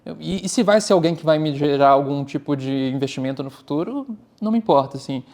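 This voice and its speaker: Candid Brazilian man, fairly high voice. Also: fast talker. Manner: candid, animated, uses "cara" often. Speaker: startup founder and guest. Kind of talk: casual interview talk.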